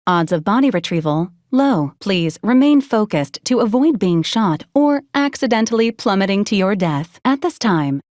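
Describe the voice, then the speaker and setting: Woman voicing a deadpan, polite robot assistant, dry sound. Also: mid-range voice, dry recording, close to an everyday voice. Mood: cool, advisory. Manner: formal, clipped, matter-of-fact, clinically detached. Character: polite, deadpan female robot companion unit assisting a commander. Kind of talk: scripted video game character lines.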